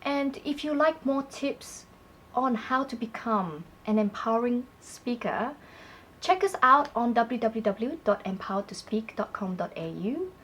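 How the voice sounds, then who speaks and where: A woman co-hosting a talk segment, speaking clearly and prompting her partner with questions. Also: fairly high pitch, expressive, measured pace, fairly bright tone, slight background noise, rough recording. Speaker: co-host. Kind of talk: scripted conversational presenting.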